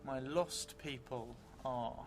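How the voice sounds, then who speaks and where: A middle-aged man improvising narration for a solo tabletop game, thinking aloud thoughtfully in halting, short phrases. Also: mid-range voice. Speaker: solo role-playing streamer. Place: indoor room set up for streaming, seated at a table. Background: music.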